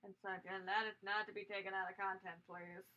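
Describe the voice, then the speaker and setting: A woman with a bright, crisp-edged voice talks through her work on a casual livestream. She thinks aloud in a halting, rambling way. The microphone sounds a little muffled and lo-fi. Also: mid-range voice, flat delivery. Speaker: streaming artist, host. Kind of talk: casual rambling livestream chat while drawing.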